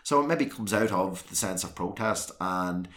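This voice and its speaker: A man's voice: expressive, moderate pace, fairly bright tone. A man from South Armagh speaking with a South Armagh accent as an interview guest, talking candidly and at length with frequent restarts. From South Armagh, Northern Ireland. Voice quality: deep voice. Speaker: guest, councillor and former soccer manager. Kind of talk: earnest conversational interview.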